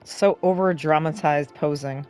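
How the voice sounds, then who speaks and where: A woman's voice: low voice, unhurried, darkish tone, clean tone, soft background music. A woman giving casual reaction commentary on video game scenes. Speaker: gamer commenting on cutscenes.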